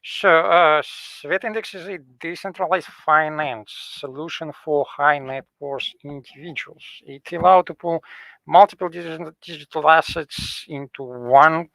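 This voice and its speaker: A man presents a project from his slides on a video call in a businesslike tone. His voice is gravelly and a bit high, and the sound is dry and close. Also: steady tone, measured pace, fairly bright tone, no echo, low-quality recording.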